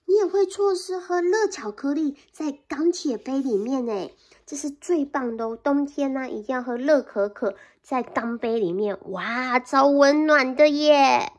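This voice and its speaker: A woman's voice: high-pitched, measured pace. A woman voicing a small, eager male mouse in a storybook read-aloud, coaxing and pleading insistently. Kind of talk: picture-book read-aloud.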